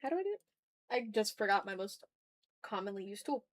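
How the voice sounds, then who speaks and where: A woman's voice: medium pitch, lively intonation, brisk, no room sound, clean studio sound. A woman chatting informally on a livestream while she draws, muttering asides to herself as she works. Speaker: art streamer. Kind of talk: casual livestream chat while drawing.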